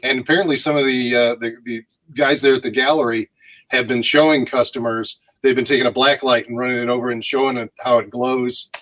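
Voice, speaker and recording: A man's voice: medium pitch, steady tone, a little fast, a bit bright, no echo, low-quality recording. A man from St. Louis, a guest artist chatting in an easygoing, modest way. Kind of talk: casual interview chat.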